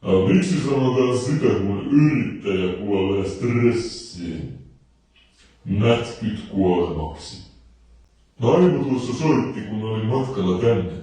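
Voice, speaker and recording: An actor playing a crude, bitter dance-band singer in a video game, telling deadpan, sardonic jokes through a reverberant stage microphone. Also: male voice, on the low side. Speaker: dance pavilion lead singer. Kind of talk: stage banter with deadpan one-liner jokes. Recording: heavy microphone reverb, distant from mic.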